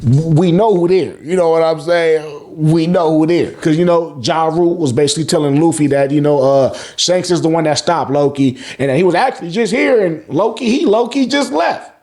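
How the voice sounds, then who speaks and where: A man's voice: a little high, normal speed, few pauses, a little mellow. A man hosting a casual recap, talking in profane slang, acting out reactions and repeating words in bursts as he goes. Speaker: host of a manga review channel. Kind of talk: casual, animated chapter recap commentary.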